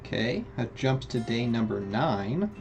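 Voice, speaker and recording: A man giving relaxed commentary as he plays a video game, with game sound behind him. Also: medium pitch, measured pace, creaky, music in the background. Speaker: video game let's player. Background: video game ambience.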